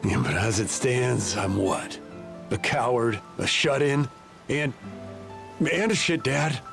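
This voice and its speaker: An actor playing a gruff, weary, self-deprecating father who runs a bar, in a video game. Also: medium pitch, expressive, takes it slowly, a bit bright, faint music behind, full character voice. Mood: self-loathing. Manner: weary, sighing, reluctant.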